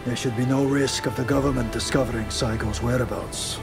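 A man voicing an earnest, composed samurai retainer in a video game, speaking in a measured, formal, solemn way. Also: mid-range voice, fairly even intonation, creaky, loud music behind the voice, natural voice. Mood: solemn, explanatory. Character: earnest, composed male samurai retainer, loyal to his comrade. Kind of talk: dramatic video game voice acting.

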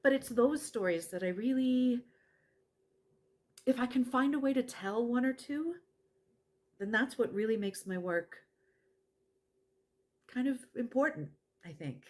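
A middle-aged actress playing an earnest woman who helps abuse victims, speaking deliberately in a testimonial monologue with emphatic pauses. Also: fairly high pitch, animated, a little mellow. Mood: earnest, impassioned. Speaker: actor in a dramatized narrative. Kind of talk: dramatized personal testimonial monologue to camera. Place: living room at home.

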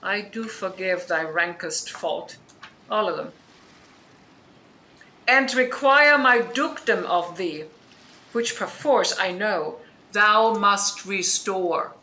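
A woman playing a dignified exiled duchess in a Shakespearean radio play, stern yet forgiving, with deliberate, commanding formality. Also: mid-range voice, expressive, pushed and bright, a little hiss or hum, full character voice, rough recording. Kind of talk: Shakespearean audio drama acting.

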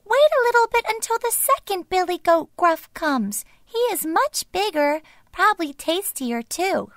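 A woman's voice: extremely high pitch, big pitch swings, measured pace, a bit bright, no room sound, studio quality, voice pushed up, full character voice. A woman voicing a tiny, timid young billy goat with a wee, quivering, pleading voice in a children's story. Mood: frightened, pleading.

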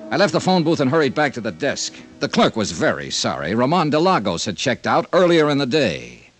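A hard-boiled American insurance investigator in a 1950s radio drama. He is brisk and dry, with a mid-pitched voice that moves widely for dramatic, clipped delivery. Music plays clearly behind him. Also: wildly swooping pitch.

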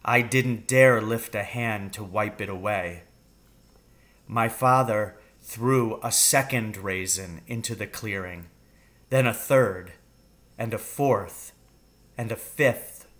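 A middle-aged man reading a children's novel aloud in a measured, suspenseful storytelling manner. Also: lowish voice, fairly bright tone. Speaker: storybook reader. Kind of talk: children's novel read aloud, first-person narration. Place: room at home with wooden ceiling.

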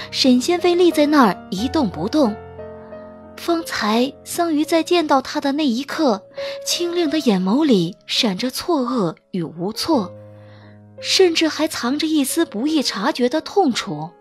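A woman reading a romance novel as a dramatic audiobook narrator. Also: high voice.

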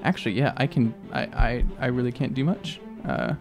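A man's voice: medium pitch, expressive, normal speed, gravelly. A man playing a tabletop game, talking casually with the other players. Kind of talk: casual actual-play table talk. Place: indoor room, around a gaming table. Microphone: close podcast microphone on stand. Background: faint music.

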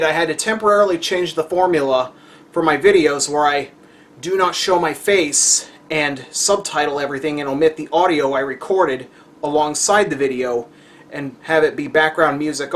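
A man's voice: fairly high pitch, moderate pace, slight background noise. A young man casually presenting a how-to cooking video to the camera as its host. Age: young adult. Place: home kitchen, indoors.

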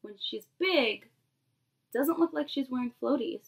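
High-pitched young woman reading to preschoolers. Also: a little slow, gravelly. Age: 20s. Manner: expressive, addresses children directly with questions. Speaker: preschool teacher. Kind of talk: animated read-aloud to young children. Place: small bedroom, indoors.

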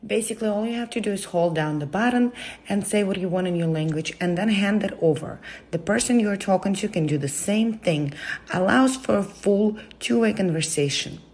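A woman reading a scripted product voice-over, steady and explanatory as she walks through a gadget's features. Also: medium pitch, slightly rough. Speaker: product demo narrator.

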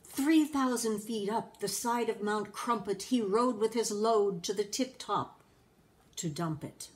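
Older lady in her 60s doing storytime, slow expressive reading, big pauses, recorded at home. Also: mid-range voice. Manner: deliberate, with emphatic pauses. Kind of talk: expressive read-aloud of a children's storybook. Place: living room at home.

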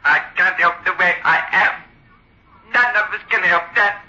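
An actor playing a sinister living ventriloquist's dummy in an old radio horror play, defiant, needling and menacing. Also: male voice, sits high, a little slow, pushed and bright, exaggerated voice. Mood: defiant, taunting. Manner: taunting, needling. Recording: dull lo-fi old radio recording.

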